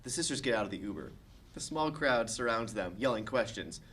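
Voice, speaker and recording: A man's voice: lowish voice, lively intonation, a little fast, a bit bright, slight background noise. A man reading stage directions as the narrator of a comedic script table read.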